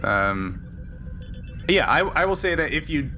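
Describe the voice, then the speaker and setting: A man's voice: fairly high pitch, very animated, a little slow, pushed and bright. Casual male game master, noisy background. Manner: hesitant, improvising, chuckling often. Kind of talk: casual tabletop game narration. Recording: clear background noise.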